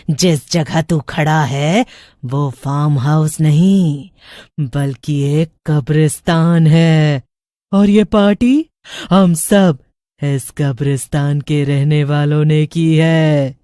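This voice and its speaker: A woman voicing a mocking, menacing female witch ghost, slow and low in a taunting snarl. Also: steady tone, warm tone, dry recording, studio quality.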